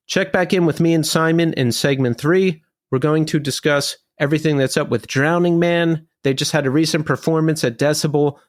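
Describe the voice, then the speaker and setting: An upbeat man hosting a podcast, his voice sitting a bit higher than most. He talks in a chatty, easygoing way. Faint guitar music plays underneath. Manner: upbeat, chatty, laughs easily. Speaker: podcast host. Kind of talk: podcast interview with ad read.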